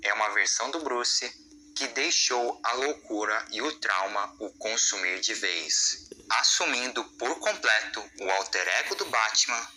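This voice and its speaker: A man's voice: lowish voice, lively intonation, measured pace, sharp tone, a little hiss or hum, rough recording. A Brazilian man narrating a scripted explainer as the voice of a video essay.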